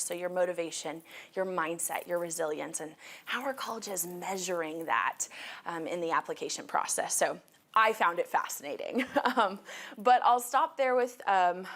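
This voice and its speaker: A woman's voice: on the low side, fairly bright tone. A young woman in her twenties, a college counselor, giving a friendly, organized school presentation to students and parents, wearing a mic, with frequent fillers. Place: school meeting room.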